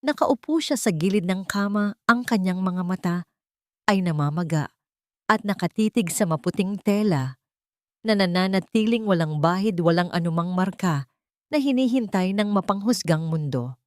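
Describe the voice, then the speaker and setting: Female Filipino narrator for late-night drama fiction. Lowish register, dry studio-like sound, scripted dramatic read. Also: expressive, normal speed, no room sound.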